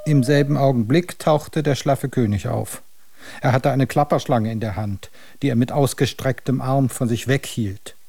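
A man's voice: medium pitch, normal speed, creaky, a little mellow. A man narrating a scripted absurdist radio drama as its storyteller.

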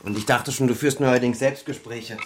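A man's voice: medium pitch, steady tone, normal speed, close to an everyday voice. Guy acting a dutiful grown son in a radio krimi, normal mid voice, everyday matter-of-fact talk, bit of background noise. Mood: caring, matter-of-fact. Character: dutiful, caring adult son, policeman. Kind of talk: German radio crime drama acting.

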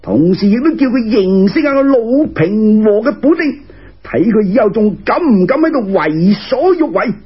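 A man's voice: sits high, very animated, moderate pace, breathy edge, full character voice. A man with a Cantonese accent voicing a proud, protective old male dragon in a storytelling tale, boastful and emphatic. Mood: indignant, boastful. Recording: muffled lo-fi radio recording.

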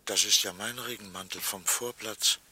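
An actor playing an oddly detached, matter-of-fact bereaved husband in a 1960s German radio play. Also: male voice, fairly low pitch, steady tone, normal speed, sharp tone. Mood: puzzled.

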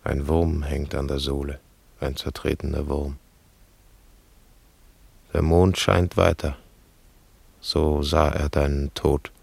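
A grieving shoemaker speaks from a radio drama, gravelly and extremely deep. He sets down short, weighty phrases slowly, like a solemn recitation of mourning. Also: flat delivery, dry recording, full character voice. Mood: mournful.

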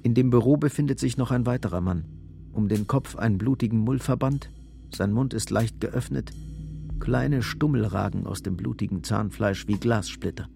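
A man's voice: lowish voice, normal speed, gravelly, dark tone. A man giving a grim, intense scripted narration of a crime story in a German radio drama. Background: music.

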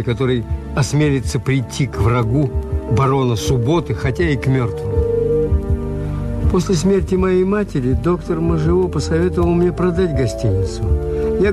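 A man playing a world-weary, ironic English hotel owner in a radio drama, with measured, wry delivery. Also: fairly high pitch, natural voice. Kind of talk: Soviet radio drama, first-person narration and dialogue. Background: music.

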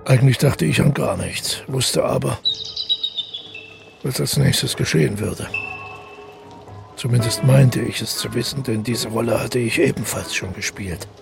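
Gravelly, detached killer. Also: male voice, mid-range voice. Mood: resigned. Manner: measured, reflective, detached narration. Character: detached male killer calmly recounting his deed. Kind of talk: literary radio drama monologue. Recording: music playing under the voice.

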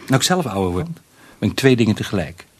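Avuncular old colleague, deep husky voice, smug and musing, radio play. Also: male voice, very animated, fairly quick, darkish tone. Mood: musing, patronising. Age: older. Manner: patronising, musing. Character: avuncular, self-satisfied older male colleague.

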